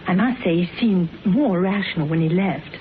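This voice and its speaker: A woman playing a frank, brisk, polite secretary in a radio drama. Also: on the low side, natural voice. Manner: brisk, plain-spoken, polite. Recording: tinny band-limited radio recording with hiss.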